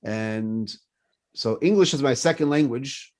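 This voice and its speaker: A man's voice: medium pitch, unhurried. A man in his fifties whose first language is French, leading a morning class with personal stories and questions put to his listeners. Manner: animated teaching with personal stories and repeated questions. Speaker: rabbi.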